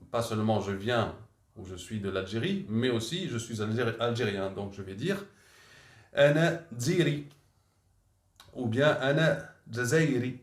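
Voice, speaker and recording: A man teaching a language lesson, talking unscripted and correcting himself often with many fillers. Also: medium pitch. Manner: unscripted, halting with frequent fillers and self-corrections. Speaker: Arabic teacher. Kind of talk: language lesson, thinking aloud. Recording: echoey room.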